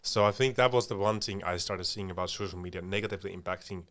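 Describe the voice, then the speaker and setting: A man's voice: deep voice, fast, a bit bright. A young man co-hosting a podcast, chatting casually and reflectively and acting out bits of imagined conversation. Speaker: podcast co-host.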